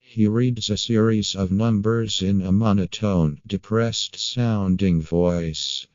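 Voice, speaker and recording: A man reading a scripted creepypasta narration in a measured, matter-of-fact way. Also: fairly low pitch, darkish tone, no echo, muffled recording.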